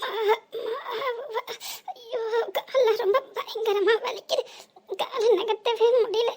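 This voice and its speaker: A woman's voice: extremely high pitch, fairly even intonation, takes it slowly, a little mellow, exaggerated voice. A woman voicing a wailing old granny in pain. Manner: wailing, pleading and groaning in pain. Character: old woman fallen in the road, pleading. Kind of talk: animated story dubbing.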